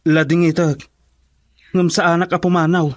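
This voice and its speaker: A man's voice: a little high, flat delivery, moderate pace, no room sound, clean studio sound, lightly acted. A man playing a stubborn young husband in a melodramatic radio drama, insistent and defiant.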